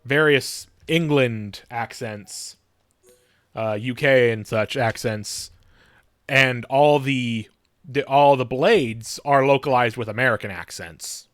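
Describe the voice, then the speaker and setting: A man livestreaming a video game, chatting casually in a halting, rambling way with many false starts. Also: mid-range voice, fairly bright tone, clear, resonant tone, no echo, studio quality.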